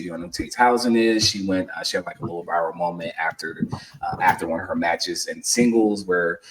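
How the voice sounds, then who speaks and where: A man's voice: low voice, recorded from a distance, slight background noise, low-quality recording. A man in his thirties co-hosting a sports podcast, telling stories in a rambling way with lots of fillers. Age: 30s. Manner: rambling, filler-heavy storytelling. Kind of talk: casual podcast chat.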